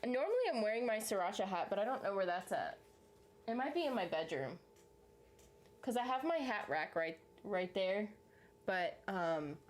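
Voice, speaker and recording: Woman, Southern US accent, YouTube host, chatty live stream banter. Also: mid-range voice. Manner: chatty, rambling, answers chat comments. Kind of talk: casual live chat with viewers.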